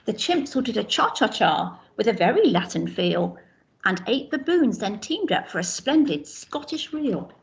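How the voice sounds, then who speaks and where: A woman's voice: mid-range voice, big pitch swings, moderate pace, vocal fry, lo-fi sound. A woman teacher reading a rhyming picture book aloud to young children, pointing out the pictures as she goes. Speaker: infant school teacher.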